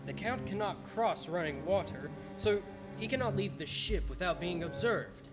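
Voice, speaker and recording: A man's voice: sits high, animated, moderate pace. An actor playing a grave young Englishman who reads his journal aloud in a radio drama. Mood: grave, measured. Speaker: actor narrating. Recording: music bed under live-streamed performance.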